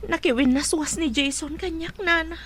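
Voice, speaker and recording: Earnest high-voiced young mother. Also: moderate pace. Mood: distressed. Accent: Ilocano. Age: young adult. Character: heartbroken, respectful young single mother and daughter. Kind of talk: Ilocano radio drama acting. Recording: faint noise behind the voice.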